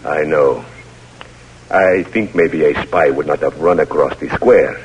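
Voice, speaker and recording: An actor playing a grave, courteous Cuban general in an old radio drama, speaking measured, formal English with a Cuban Spanish accent. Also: male voice, extremely low pitch, breathy edge, heavily acted. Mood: suspicious, measured. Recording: muffled vintage radio recording.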